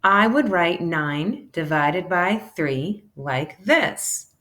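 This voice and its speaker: A woman reads aloud to children like a teacher sharing a book. Her pace is slow and her pitch moves up and down a lot. She is animated and acts out the dialogue. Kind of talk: expressive children's read-aloud.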